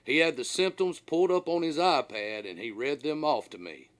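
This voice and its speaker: Man narrating with very animated, swooping pitch. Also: normal speed. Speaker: author reading own audiobook. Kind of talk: scripted first-person storytelling narration.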